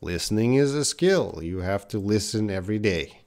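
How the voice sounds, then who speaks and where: Chatty man with a lowish voice. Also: lively intonation, normal speed, a little mellow. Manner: chatty, reads out viewer comments and answers them. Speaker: English teacher. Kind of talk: live-stream English class chat. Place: in Korea.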